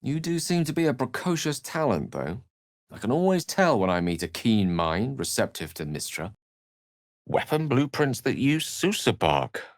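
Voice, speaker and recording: A man's voice: mid-range voice, sing-song, moderate pace, no room sound, clean studio sound, close to an everyday voice. A man playing an eloquent, witty and self-assured wizard in a video game, with articulate, florid, wry delivery. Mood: grave, thoughtful. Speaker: game character voice actor. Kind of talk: theatrical video game character dialogue.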